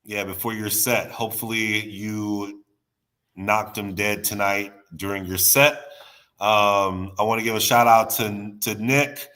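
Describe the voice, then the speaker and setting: A man's voice: on the low side, steady tone, unhurried, sharp tone. A man with a stuffy nose, guesting on a casual podcast chat, rambling and restarting his sentences. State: stuffy nose, under the weather.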